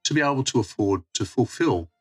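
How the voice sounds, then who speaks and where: A man's voice: mid-range voice, expressive, brisk, slightly rough, soft background music. A man reading a prepared religious reflection on scripture. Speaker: church mission worker giving a reflection.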